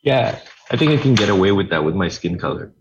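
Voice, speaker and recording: A middle-aged man from Hong Kong, a guest telling his story in a relaxed, rambling way with many fillers. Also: low-pitched, fast talker, muffled recording, noisy background. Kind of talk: casual interview talk.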